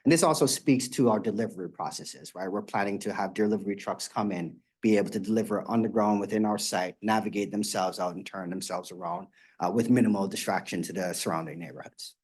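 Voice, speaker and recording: A man with a fairly low voice presents a development proposal at a public community meeting. He explains in a steady, plain way, often pausing on fillers as he clicks through slides. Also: slightly rough. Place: meeting room.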